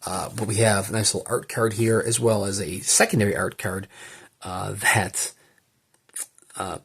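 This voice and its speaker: Low, husky-voiced man chatting enthusiastically. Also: steady tone, normal speed, fairly bright tone. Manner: rambling, enthusiastic, thinking aloud. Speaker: book collector presenting an unboxing. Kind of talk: casual unboxing commentary.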